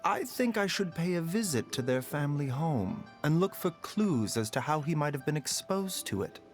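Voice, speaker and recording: A man voicing a gentle, reserved male doctor who speaks calmly and politely. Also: fairly high pitch. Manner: calm, measured, polite. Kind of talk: video game voice acting. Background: game music.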